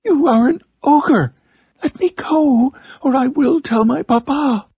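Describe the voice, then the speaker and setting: A small boy in a gothic storybook, frightened yet defiant. The voice leaps far up high, shrill and strained. He cries out indignantly. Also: takes it slowly, darkish tone, no echo, lo-fi sound, exaggerated voice. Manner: crying out, pleading and insulting.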